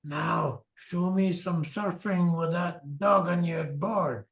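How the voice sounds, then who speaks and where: Man playing a cranky, slow-talking old swimmer. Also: a little high. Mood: indignant, defiant. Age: older.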